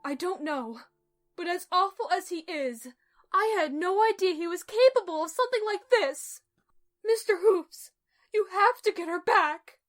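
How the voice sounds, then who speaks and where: A woman's voice: extremely high pitch, animated, a little slow. A woman playing an earnest, protective mother pony in an emotional audio drama, speaking confessionally. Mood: bitter, pleading.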